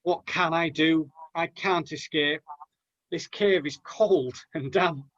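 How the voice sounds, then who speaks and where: A man's voice: on the high side, takes it slowly, fairly bright tone, muffled recording. A man playing a plucky, naive young Aladdin in a rhyming pantomime, with an earnest theatrical delivery. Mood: anxious. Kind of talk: pantomime acting.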